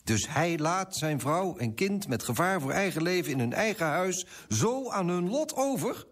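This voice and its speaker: An actor in a radio drama playing a smug, stuffy, sceptical Dutch merchant with a pompous, condescending manner. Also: male voice, high voice, sing-song, normal speed.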